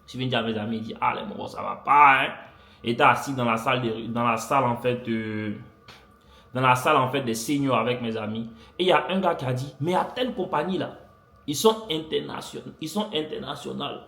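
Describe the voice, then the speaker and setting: A man's voice: medium pitch, a little fast, gravelly, sharp tone. A young man vlogging, animatedly telling a personal story to his viewers, repeating phrases for effect. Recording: echoey room.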